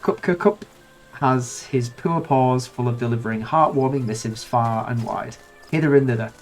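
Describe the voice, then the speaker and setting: A grand noblewoman from a fantasy game who speaks of love in ornate, theatrical sentences, read aloud by a man. His voice stays at a middling pitch and sounds stuffy and nasal from a cold. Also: normal speed, soft background music, lightly acted. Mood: grandiose. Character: grand, romantic noblewoman event host.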